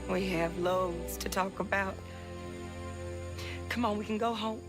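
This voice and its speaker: A woman's voice: mid-range voice, steady tone, unhurried, close to an everyday voice. An actress playing a loving, protective, worried middle-aged mother in a video game cutscene. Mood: relieved, tender. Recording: music under the voice.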